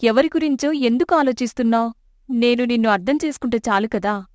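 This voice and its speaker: A woman's voice: a little high, a little fast, a little mellow, dry recording, clean studio sound, lightly acted. A woman voicing a loving young daughter in a storybook tale, devoted and pleading.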